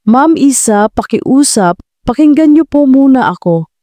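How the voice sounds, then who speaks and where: A woman voicing a polite young man who pleads softly and respectfully. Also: high-pitched, expressive, unhurried, dry recording, studio quality, pitched above the performer's own voice. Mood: pleading. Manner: pleading, respectful, soft-spoken.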